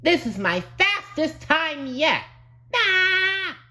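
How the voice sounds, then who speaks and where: Childlike cartoon sponge, very high, wildly swooping, gushing and earnest. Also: male voice, very animated, extremely slow, pushed and bright, exaggerated voice. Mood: excited. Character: excitable childlike male cartoon sea sponge.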